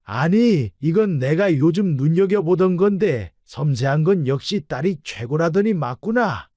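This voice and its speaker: A woman's voice: low-pitched, lively intonation, unhurried, mellow, no echo, studio quality, voice pushed down. A woman doing a pleased older father-in-law.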